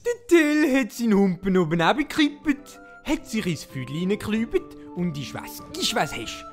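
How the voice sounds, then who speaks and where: A man's voice: sits high, sing-song, moderate pace, faint music behind. A man narrating a comic Swiss German audio play as a tongue-in-cheek storyteller. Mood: storytelling. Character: animated, tongue-in-cheek storyteller of a folk-legend parody.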